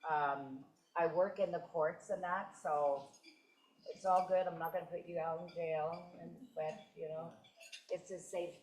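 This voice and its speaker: A woman who works in the courts, speaking hesitantly and apologetically in halting phrases. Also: on the low side, fairly even intonation, a little mellow. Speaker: court worker on a panel. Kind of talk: informal remarks at a dialogue session. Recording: roomy echo.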